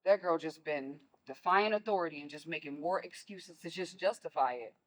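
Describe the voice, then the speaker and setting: A woman's voice: sits low, normal speed, natural voice, poor audio. A woman playing a stern, protective mother in a stage play, scolding and pressing with pointed questions. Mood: worried, annoyed. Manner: forceful, scolding, rhetorical questions.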